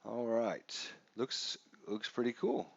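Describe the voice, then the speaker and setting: A man's voice: medium pitch, takes it slowly, gravelly, no echo. A man with a stuffy, congested voice casually walking viewers through a wargame scenario on a live stream, with lots of fillers. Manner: casual, chatty with frequent fillers. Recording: dull, dry lo-fi stream audio.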